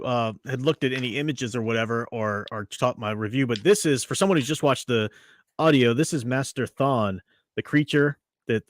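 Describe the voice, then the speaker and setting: A man hosting a casual review discussion, explaining in an animated way with some fillers. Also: medium pitch, dry recording, studio quality. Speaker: podcast host.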